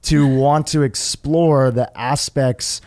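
A man hosting a podcast, reading a guest introduction and asking questions in a smooth, measured way. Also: mid-range voice, fairly even intonation, a little mellow, dry recording, clean studio sound. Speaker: podcast host.

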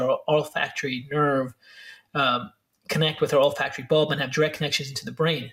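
A man speaking as a guest expert, explaining brain health in a fast, filler-heavy way with restarts. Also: on the high side, fairly bright tone. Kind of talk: explanatory interview talk.

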